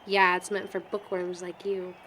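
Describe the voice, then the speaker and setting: A woman's voice: fairly low pitch, monotone, moderate pace, fairly bright tone, slight background noise, natural voice. A young woman playing a chatty college student in an indie film, with a teasing, informal manner. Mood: candid, unsure. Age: young adult. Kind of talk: naturalistic amateur film dialogue.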